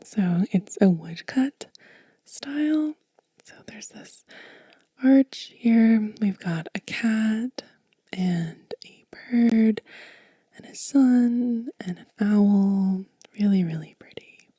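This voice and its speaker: A woman hosting a soft-spoken ASMR show-and-tell, whispering gently and breathily at an unhurried pace. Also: mid-range voice, expressive, breathy edge, dry recording. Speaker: ASMR channel host.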